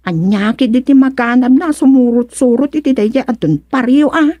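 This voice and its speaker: A woman acting in a Filipino radio serial as a devout, exasperated niece, with a Filipino accent and a plaintive, expressive way of talking. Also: high-pitched, moderate pace, no echo. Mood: pleading, exasperated. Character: devout, exasperated niece worried about a wayward uncle.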